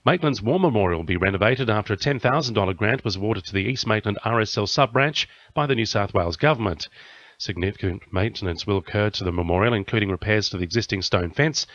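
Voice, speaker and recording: A man's voice: fairly low pitch, animated, fast talker, a bit bright, muffled recording. An Australian man reading a scripted radio news bulletin as the newsreader. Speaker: news reader.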